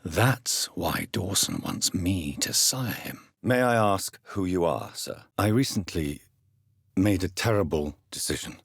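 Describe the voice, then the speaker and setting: Casting: an educated English doctor turned vampire for a game; husky, formal and earnest, close dry sound. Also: male voice, animated, no room sound, exaggerated voice. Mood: brooding, intrigued, then grave, questioning. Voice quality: low husky voice. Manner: formal, measured, earnest phrasing. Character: grave, educated gentleman doctor turned vampire, early 20th century. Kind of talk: video game character dialogue.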